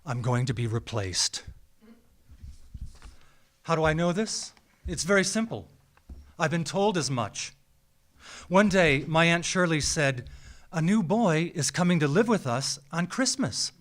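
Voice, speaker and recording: Theatrical American man, slightly high-pitched voice. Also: lively intonation. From small town in Minnesota, United States. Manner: theatrical, measured delivery with dramatic pauses and emphatic repetition. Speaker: writer reading a personal essay. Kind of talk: scripted memoir read live on stage. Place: small theatre, live event.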